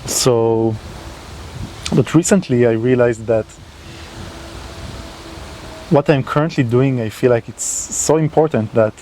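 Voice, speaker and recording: A man's voice: mid-range voice, slow pace, long pauses, warm tone, a little hiss or hum, rough recording. A man hosting his own channel, talking unscripted to the camera in a non-native language, hesitant with many fillers and restarts. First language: not English. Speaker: channel host. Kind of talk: unscripted solo talk to camera.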